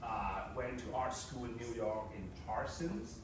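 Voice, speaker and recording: Male guest speaker, 30s, conversational, mid pitch, very roomy and distant, noisy. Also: a little slow. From Hong Kong. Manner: conversational, addressing the audience directly. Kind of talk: public talk to students. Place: lecture room. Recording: roomy, distant mic with background noise.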